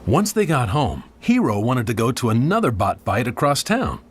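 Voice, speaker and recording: A man's voice: medium pitch, big pitch swings, normal speed, slight background noise. A man reading a scripted children's read-along storybook as its narrator. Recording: music bed behind the voice. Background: background music.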